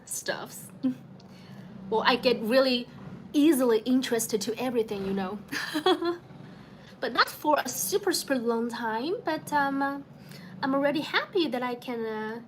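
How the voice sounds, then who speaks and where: A woman's voice: fairly high pitch, normal speed, slight background noise, rough recording. A young woman from China with a Chinese accent, chatting casually with viewers on a home livestream, laughing often and greeting people by name. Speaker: livestream host. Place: home in the evening.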